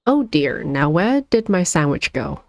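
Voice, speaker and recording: Anxious, fussy gentleman played by a woman, fantasy game, easily unnerved, dry sound. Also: deep voice, very animated, a little slow, vocal fry, dry recording, exaggerated voice. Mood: fretful. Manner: fretful, fussy.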